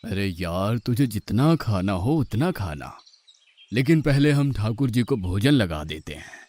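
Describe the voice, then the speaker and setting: Kindly divine lord for a mythological cartoon, lowish male voice actor, patient and gently coaxing. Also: expressive, a little slow. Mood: mildly exasperated. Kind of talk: Hindi cartoon mythological acting.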